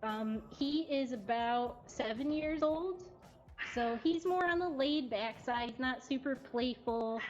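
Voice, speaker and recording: A woman's voice: on the high side, darkish tone. A woman hosting a casual, unscripted livestream in a cat cafe, talking in a chatty, giggly way. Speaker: cat cafe host presenting adoptable cats. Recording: dull lo-fi livestream audio with music. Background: faint music.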